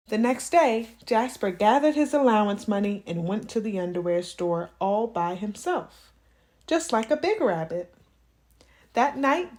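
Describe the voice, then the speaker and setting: A woman reading a children's storybook aloud with expressive, dramatic emphasis on key words. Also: medium pitch. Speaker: children's read-aloud host.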